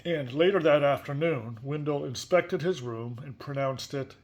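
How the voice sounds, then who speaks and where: A man's voice: fairly high pitch, normal speed. A man reading a picture book aloud expressively, with casual, chatty asides and questions to a young listener. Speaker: story reader.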